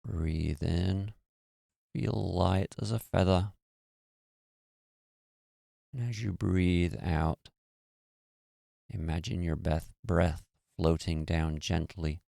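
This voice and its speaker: A man guiding a meditation in a slow, soothing, hushed way. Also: low-pitched, creaky, no room sound, clean studio sound. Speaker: meditation guide. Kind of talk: guided meditation.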